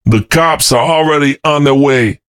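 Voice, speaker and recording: A gruff, foul-mouthed building caretaker who barks his threats in a raised voice, voiced by a man telling a story. He is blunt and impatient. The recording is dry and close. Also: a little high, normal speed, fairly bright tone, no room sound, natural voice. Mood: angry barking. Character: fat, angry middle-aged apartment caretaker with shotgun.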